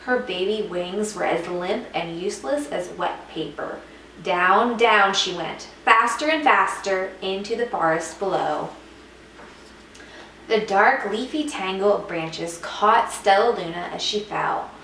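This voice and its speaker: A woman's voice: medium pitch, measured pace, sharp tone. Woman reading a storybook in an echoey room. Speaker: teacher reading aloud. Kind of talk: expressive scripted storybook narration. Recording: echoey room, distant mic, faint noise.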